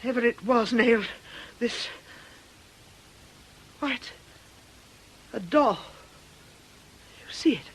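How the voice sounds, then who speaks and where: A British actress playing a brisk, sensible, ageing nun in a radio drama, articulate and gently scolding. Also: female voice, a little high, breathy edge, exaggerated voice. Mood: alarmed, breathless. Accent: British English. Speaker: actor.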